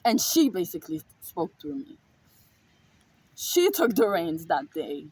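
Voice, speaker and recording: Husky-voiced woman telling a story. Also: fairly low pitch, big pitch swings, measured pace, a little mellow, rough recording. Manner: emphatic, repetitive, swears freely. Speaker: spiritual vlogger. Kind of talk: casual storytelling monologue.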